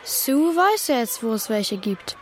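A woman's voice: fairly high pitch, big pitch swings, unhurried, some chatter behind, slight background noise. A woman playing a cheeky, mocking schoolboy in a German children's radio play.

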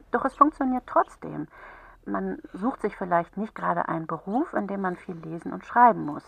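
A woman playing a kind, patient helpline counsellor for children in a radio play, gently explaining and encouraging. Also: medium pitch, vocal fry, slightly rough. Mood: thoughtful, explanatory.

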